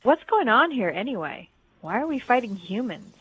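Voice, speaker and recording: A woman's voice: fairly high pitch, sing-song, moderate pace, fairly bright tone, a little hiss or hum, poor audio. A woman playing an earnest, plucky young female alien in a sci-fi game audio drama, a little unsure of herself. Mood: puzzled. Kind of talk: fan audio drama, game-story character acting.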